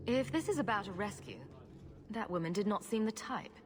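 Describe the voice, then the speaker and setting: A woman's voice: mid-range voice, expressive, a little fast, noisy background, lightly acted. A woman voicing a confident, wry young adventurer in a video game, speaking assertively. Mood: probing.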